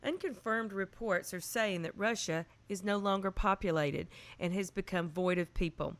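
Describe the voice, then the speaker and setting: A woman playing a sober radio newsreader, giving a formal, measured and impersonal bulletin in an audio drama. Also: mid-range voice, lightly acted. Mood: grave, factual. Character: sober female radio newsreader reporting a global collapse.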